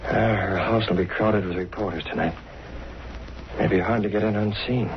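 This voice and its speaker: An actor playing a firm, commanding newspaper boss and secret vigilante in a vintage radio drama, with a decisive, authoritative manner. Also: male voice, lowish voice, fairly even intonation, moderate pace, lightly acted. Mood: grave, resolute. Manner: decisive, authoritative, clipped orders. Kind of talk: 1930s-40s radio drama acting. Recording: dull, noisy old radio recording.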